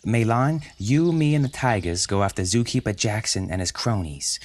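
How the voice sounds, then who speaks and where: Man voicing a penguin gang boss, commanding, barking orders, fairly low voice, guitar music under it. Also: normal speed, darkish tone, close to an everyday voice. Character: gruff male penguin gang leader.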